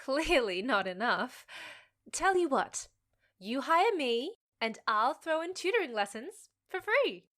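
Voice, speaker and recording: A woman's voice: sits high, big pitch swings, slow, a bit bright, exaggerated voice. An actress playing a frank, savvy young half-fae woman, teasing yet supportive, with a wry conversational manner in an audio drama. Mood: wry, bargaining.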